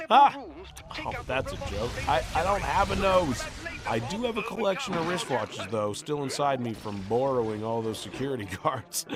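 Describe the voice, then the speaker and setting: A man voicing a dim, cheerful, childlike monster blob in a video game, naive, eager and goofy. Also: medium pitch. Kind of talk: animated video game voice acting.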